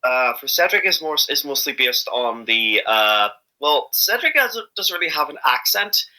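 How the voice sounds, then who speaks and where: Rambling man with a very bright voice. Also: medium pitch, very animated, normal speed, poor audio. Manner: rambling, hesitant, self-correcting. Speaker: amateur voice actor being interviewed. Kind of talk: casual interview chat.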